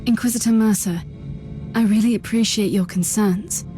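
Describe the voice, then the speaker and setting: A woman's voice: medium pitch, normal speed, mellow, faint music behind, natural voice. An actress playing a grave, resolute woman, a living psychic weapon, brooding and solemn in a game cutscene. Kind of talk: dramatic game cutscene acting.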